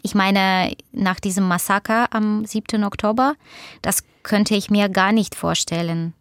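Brief: woman from Ukraine, Russian-native Ukrainian accent, thoughtful, sometimes hunting for words; mid pitch, dry close mic. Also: steady tone, a little slow, dry recording. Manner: reflective, searching for words. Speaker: interview guest, author and doctor. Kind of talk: conversational interview answers.

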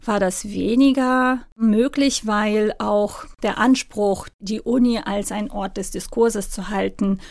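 Woman, interview, thoughtful explanation, mid-pitched voice, dry recording. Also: steady tone, takes it slowly, hardly any pauses, a little mellow, dry recording. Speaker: social scientist. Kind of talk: interview answers.

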